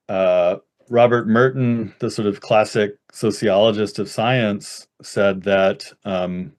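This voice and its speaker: A man's voice: low voice, gravelly. A man on a webinar call answering an audience question in an academic way, with an American accent, often ending points with "right?" and many fillers. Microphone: webinar video call.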